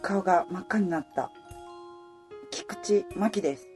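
A woman playing the wistful heroine of a radio romance, a female apparel worker narrating her own love story in a soft, reflective way. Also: on the low side, normal speed, soft background music, close to an everyday voice. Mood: flustered, indignant. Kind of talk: radio drama narration and dialogue.

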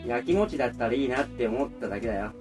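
An actor playing a pushy, cocky, flirtatious suitor in a romantic radio drama, with rough, teasing speech. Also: male voice, mid-range voice, expressive, rapid-fire, a little mellow, natural voice. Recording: faint music behind the voice.

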